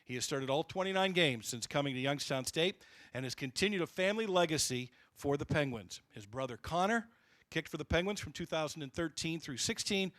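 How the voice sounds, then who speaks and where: A man's voice: on the high side, a bit bright, no room sound. A man emceeing a banquet, introducing honorees in a polished announcer manner.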